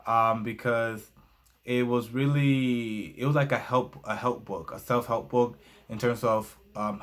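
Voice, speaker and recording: A man's voice: mid-range voice, a bit bright. A young man whose first language is Spanish reviews a book in a casual vlog, hesitating often with fillers and glancing at his notes.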